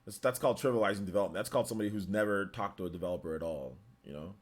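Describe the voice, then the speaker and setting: Animated young man hosting a live stream. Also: low-pitched, rapid-fire. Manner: animated, incredulous, rambling with many restarts. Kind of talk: casual live stream chat, reading comments.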